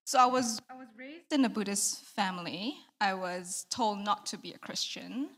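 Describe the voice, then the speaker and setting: A woman in her thirties from Malaysia, giving a candid, soft-spoken testimony in an interview and laughing between thoughts. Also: mid-range voice, normal speed. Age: 30s. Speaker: church member giving testimony.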